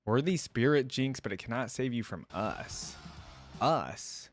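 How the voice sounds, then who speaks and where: A man's voice: medium pitch, lively intonation, unhurried, faint music behind. A man hosting a reaction video, giving casual, off-the-cuff commentary.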